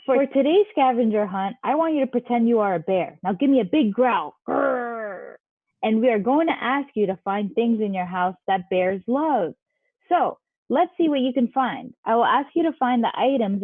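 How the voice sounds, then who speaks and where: Woman, fairly high pitch, children's game host, encouraging, simple phrasing, dry lo-fi sound. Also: no echo. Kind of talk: instructional children's activity hosting. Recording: dull, lo-fi, dry audio.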